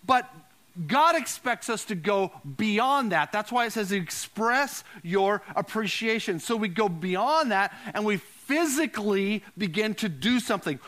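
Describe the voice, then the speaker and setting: Guy pastor preaching, pretty high bright voice, chatty, repeats himself to make the point. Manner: repeats phrases for emphasis, asks listeners questions. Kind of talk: conversational sermon to a congregation.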